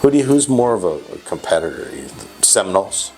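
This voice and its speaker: Very deep-voiced chatty man. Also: expressive, quick pace, a little mellow, soft background music, low-quality recording. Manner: chatty, teasing, many restarts. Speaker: company CEO hosting interview. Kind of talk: casual interview chat.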